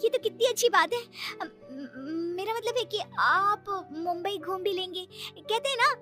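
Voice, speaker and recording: A woman's voice: extremely high pitch, animated, measured pace, bright, forceful tone, faint music behind, voice pushed up, full character voice. A woman voicing a cunning young daughter-in-law, a sugary and theatrical schemer in a moral story cartoon. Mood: excited.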